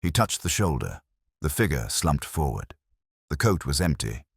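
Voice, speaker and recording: A man narrating a dramatic mystery story in a measured, solemn manner with short, deliberate phrases. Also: extremely low pitch, big pitch swings, creaky, husky, no room sound, clean studio sound.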